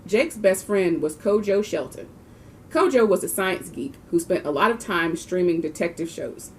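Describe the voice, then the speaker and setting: A woman's voice: on the low side, animated, normal speed. Woman reading a storybook over background noise. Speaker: volunteer reader of a children's book. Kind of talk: storybook read-aloud.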